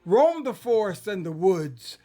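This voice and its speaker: A man performing a dramatic poetry recitation, solemn and reverent, moving between hushed tones and booming declamation. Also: big pitch swings, measured pace. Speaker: poet performing a dramatized poem. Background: music.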